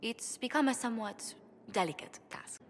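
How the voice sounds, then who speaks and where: An actress playing a poised, gracious noble diplomat in a game, speaking with polished formality. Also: female voice, fairly high pitch, expressive, moderate pace, a little hiss or hum, lightly acted. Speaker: ambassador and diplomat.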